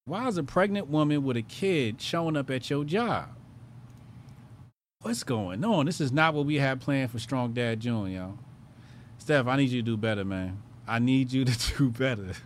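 A man hosting a call-in show, bantering loudly with callers, laughing and swearing in slang. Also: mid-range voice, animated, moderate pace, slight background noise.

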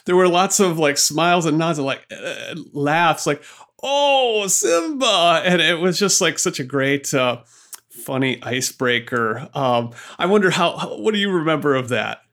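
A man hosting a podcast, chatty and animated, laughing easily as he tells stories and asks questions. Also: fairly high pitch, a little slow. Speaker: podcast host. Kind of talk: podcast interview.

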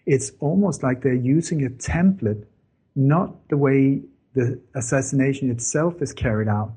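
A man's voice: mid-range voice, measured pace, warm tone, slightly rough. A middle-aged man, telling his story to an interviewer in an earnest way with many fillers and self-corrections. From born in Denmark, grew up in Sweden. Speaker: interview guest, former journalist.

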